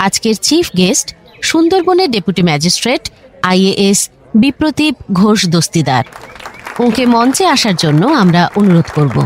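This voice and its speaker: Actress as formal, animated woman stage announcer. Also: mid-range voice, moderate pace, slight background noise, lightly acted. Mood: formal, welcoming. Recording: light crowd murmur behind.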